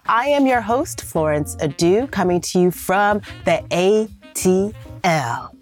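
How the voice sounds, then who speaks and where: A woman in her thirties hosting a podcast interview, talking in an upbeat, animated way. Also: medium pitch, slow pace, soft background music. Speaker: podcast host. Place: Atlanta.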